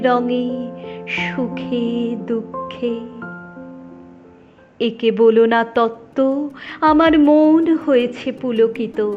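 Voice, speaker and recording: Woman, high-pitched voice, expressive poetry recitation, deliberate pauses, instrumental music behind, slightly dull recording. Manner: measured, deliberate delivery with drawn-out pauses between phrases. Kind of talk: dramatic, expressive Bengali poem recitation. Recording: dull, band-limited sound under music.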